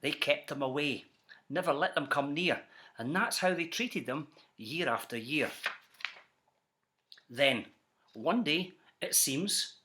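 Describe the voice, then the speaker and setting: Lively high-pitched Scottish man storytelling. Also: slow pace, long pauses, slight background noise. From Scotland. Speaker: children's author reading aloud. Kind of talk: animated storybook narration in rhyme.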